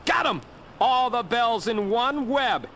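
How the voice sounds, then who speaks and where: A man playing a young, wisecracking superhero in an audio drama, earnest and animated. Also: extremely high pitch, takes it slowly, over loud music, full character voice. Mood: plucky, quipping. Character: young wisecracking male superhero and news photographer.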